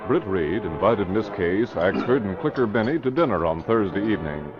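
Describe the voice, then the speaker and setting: An actor narrating an old radio mystery drama in a measured, solemn, dramatic way. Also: male voice, fairly low pitch, lively intonation, close to an everyday voice. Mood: grave. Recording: muffled vintage radio recording with music.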